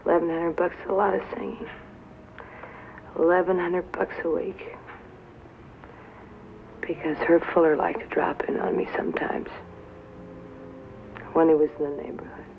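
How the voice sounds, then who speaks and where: An actress playing a tough, bitter young nightclub singer who drinks, with an American accent and a sardonic, drawling delivery, in a 1950s film drama. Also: female voice, fairly low pitch, steady tone, normal speed, vocal fry, pauses often. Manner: sardonic, drawling, dwelling on and repeating words. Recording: tinny, hissy 1950s film soundtrack.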